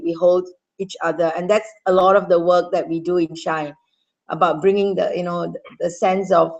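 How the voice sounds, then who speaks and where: A woman from Singapore hosting an online call, soft-spoken and reflective. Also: lowish voice, muffled recording. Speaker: host. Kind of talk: online video call chat. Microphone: computer mic on a video call.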